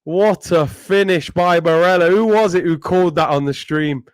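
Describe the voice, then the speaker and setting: A young man talks his way through a football match, slangy and chatty. His voice sits high for a man, and the sound is dry and close. He bursts into excited shouting when the action heats up. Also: moderate pace, clean tone, no echo. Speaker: host of a football watchalong stream. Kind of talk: live football watchalong chat and commentary.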